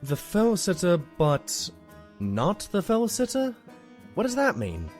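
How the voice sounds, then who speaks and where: A man's voice: high-pitched, wildly swooping pitch, takes it slowly, a little mellow, soft background music, exaggerated voice. A man playing an earnest, polite pony doctor and detective's assistant in a cartoon audio drama, articulate and courteous. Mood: puzzled.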